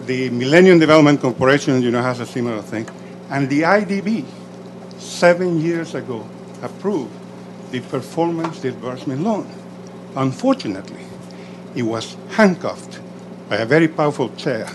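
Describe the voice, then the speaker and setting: Middle-aged man from El Salvador, emphatic audience comment, faint room noise. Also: mid-range voice. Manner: measured, emphatic, with frequent "you know" fillers. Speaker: audience member, executive director representing Central America. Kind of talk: panel discussion question from the floor. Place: conference room.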